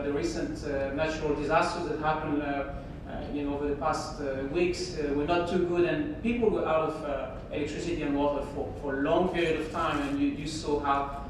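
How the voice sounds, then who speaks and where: French woman giving a keynote, chatty and halting, lots of uhs, sounds far off in a big echoey hall. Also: fairly low pitch, background noise. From France. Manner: conversational, hesitant, many fillers. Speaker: keynote speaker. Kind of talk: conference keynote presentation. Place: large conference hall.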